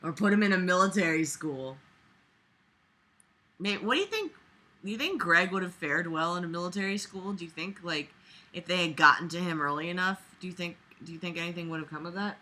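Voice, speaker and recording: Bright, sharp-voiced, exasperated woman. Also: lowish voice, animated, brisk. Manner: sarcastic, theatrical, sweary, exasperated. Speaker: host reading amateur fiction aloud and reacting. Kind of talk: comedic dramatic reading with exasperated commentary.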